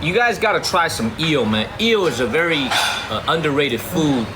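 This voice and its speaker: A man's voice: a little high, animated, moderate pace, music in the background, poor audio. A man hosting a casual eating livestream at an outdoor restaurant table, chatty and slangy, repeating himself as he talks. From China. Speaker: livestream host. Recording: loud outdoor noise.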